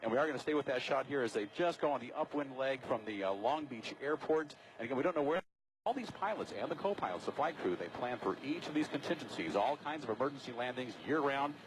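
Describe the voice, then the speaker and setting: An American man reports live as breaking news from a helicopter. He talks quickly in a mid-range voice, with a steady layer of aircraft noise under his commentary. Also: medium pitch. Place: aboard a news helicopter in flight. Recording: steady aircraft noise, outdoor broadcast feed.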